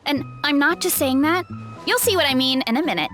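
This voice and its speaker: A woman's voice: sits high, lively intonation, fairly quick, fairly bright tone, faint music behind. A woman voicing a cheerful, chatty young fruit grower with a big appetite in a video game. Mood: cheerful, friendly. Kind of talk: video game character voice acting.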